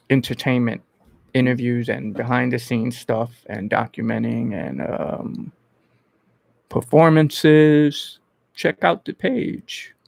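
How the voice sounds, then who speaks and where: A man from the Bronx, hosting a casual livestream and thinking aloud in an informal, halting way. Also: mid-range voice, creaky, darkish tone, dry recording. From Bronx, New York. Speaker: host of an online entertainment interview channel. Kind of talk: casual livestream chat answering viewers.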